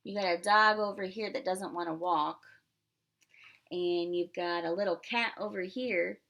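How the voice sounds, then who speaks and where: A woman's voice: fairly low pitch, steady tone, a little slow, creaky, fairly bright tone. A woman reading a picture book aloud as a pre-K teacher, expressively, with simple asides to young children.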